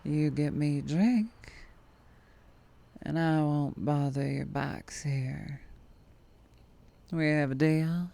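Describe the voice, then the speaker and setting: A woman performs a tough, playful vampire truck driver with a Southern US accent in an ASMR roleplay. Her voice sits very low for a woman and moves at a leisurely drawl. She teases like a predator toying with prey, lingering between phrases. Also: creaky, mellow, exaggerated voice. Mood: coaxing, predatory. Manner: slow, teasing drawl with frequent chuckles and lingering pauses.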